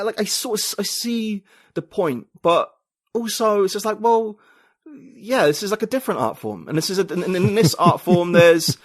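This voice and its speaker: A man's voice: high voice, no echo, clean studio sound. A male writer and poet from the UK, a guest in a casual podcast interview, thinking aloud with many restarts and fillers.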